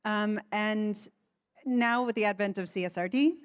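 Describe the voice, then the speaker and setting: An American woman on a conference panel speaking in a measured, articulate way, politely challenging a point. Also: mid-range voice, smooth, no room sound, clean studio sound. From United States. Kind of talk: panel discussion.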